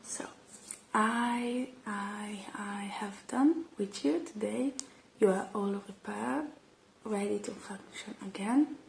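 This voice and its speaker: Slow, soft-spoken woman whispering, slightly creaky. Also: mid-range voice, a little hiss or hum. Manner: gentle, unhurried, murmuring to the listener. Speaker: ASMR creator playing a robot repair technician.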